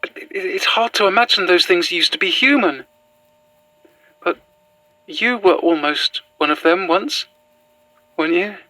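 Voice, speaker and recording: A worn-out young woman in a found-footage horror drama. Her voice is bright and very dry, with halting, stammered phrasing. She is shaken but stubborn and bitter underneath. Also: fairly low pitch, dry recording, rough recording. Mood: weary, shaken. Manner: hesitant, stammering, sighing.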